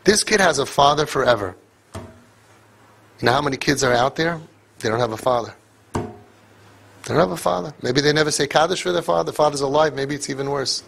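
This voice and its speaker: A man's voice: fairly high pitch, moderate pace, gravelly, pauses often, fairly bright tone, slight background noise. A man giving an emphatic motivational talk to parents, repeating phrases for force. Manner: emphatic, repeats phrases, mixes in Yiddish and Hebrew words. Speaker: speaker addressing parents.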